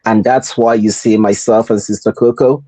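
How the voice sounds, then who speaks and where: Mellow Nigerian man hosting a podcast. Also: fairly low pitch, fairly even intonation, no room sound. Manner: rhetorical, deliberate repetition. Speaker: podcast host. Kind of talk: motivational podcast talk.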